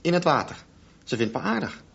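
A man's voice: fairly high pitch, big pitch swings, brisk, creaky, fairly bright tone, slightly rough, full character voice. An actor voicing an intense, defiant and bitter sixteen-year-old boy swimmer in a radio drama. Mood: taunting, defiant. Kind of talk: radio drama acting and narration. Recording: muffled, faintly noisy radio drama recording.

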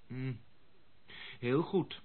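An actor playing an excitable, effusive young gentleman heir in a radio drama, exclaiming and stammering when agitated. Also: medium pitch, very slow. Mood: agitated, troubled. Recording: muffled lo-fi radio play recording.

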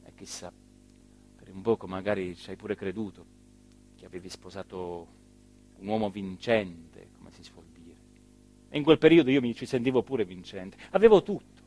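An actor playing an embittered, abandoned small-town mayor in a theatrical monologue, with a southern Italian accent, moving emotionally between low murmurs and loud declaiming. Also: male voice, normal speed, long pauses, close to an everyday voice, low-quality recording. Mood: wistful, bitter, then proud, impassioned. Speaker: stage actor.

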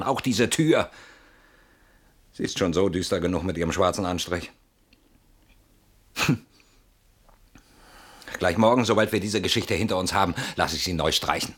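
An actor playing an anxious, defensive husband in a classic German radio play, agitated, stubborn and sardonic, with dramatic pauses and repeated words. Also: male voice, low-pitched, fairly bright tone, husky, heavily acted. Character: anxious, defensive husband barricaded behind his door.

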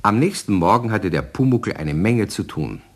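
A man's voice: fairly low pitch, animated, moderate pace. A man narrating a German children's radio play in a scripted storytelling style.

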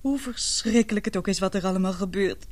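A woman's voice: mid-range voice, a little mellow, close to an everyday voice. Casting: woman acting an unhappy young heroine for radio drama, mid-range voice, emotional, hesitant, confiding. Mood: anguished. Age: young adult. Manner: halting, earnest, emotional. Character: anguished young woman in love, confiding in her father.

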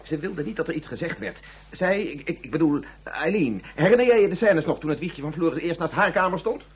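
A man's voice: a little high, lively intonation, a little fast, slightly rough. An actor playing a guilt-ridden scientist father in a radio drama, stammering and repeating his filler phrase as he over-explains. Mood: agitated, defensive. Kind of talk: Dutch radio drama acting.

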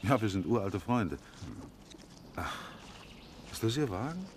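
An uneasy middle-aged novelist with a low voice, in an old radio play. He is hesitant and evasive, circling his point, over faint recording noise. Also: expressive, a little slow. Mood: chatty, confiding. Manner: hesitant, circling, self-deprecating. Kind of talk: 1950s German radio drama acting. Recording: noisy vintage radio recording.

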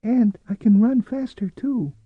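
Audiobook role: keen, defiant little lad, pitched well up, thin tone, dull recording. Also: male voice, measured pace, dry recording. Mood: eager, boastful. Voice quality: thin, high. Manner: earnest, eager. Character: eager, stubborn, excitable small boy, proudly defiant. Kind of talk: audiobook character voice.

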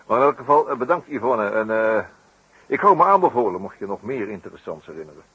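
An actor playing an urbane, teasing detective in a 1960s radio play, with pompous, ironic, wordy phrasing. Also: male voice, on the low side, lively intonation, fast, fairly bright tone, slightly rough, muffled recording, heavily acted. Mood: polite, musing. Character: wry male amateur detective, urbane, teasing, inquisitive. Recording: noisy vintage radio play recording.

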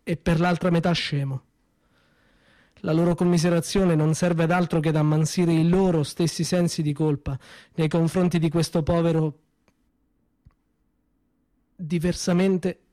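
Actor as a sardonic, high-voiced injured workman. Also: fairly even intonation, unhurried, pauses often, a little mellow, natural voice. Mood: bitter irony. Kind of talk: theatrical monologue in a radio play.

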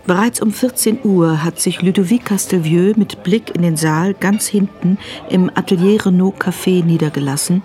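A woman's voice: fairly low pitch, moderate pace, hardly any pauses, mellow. Woman narrating a radio drama.

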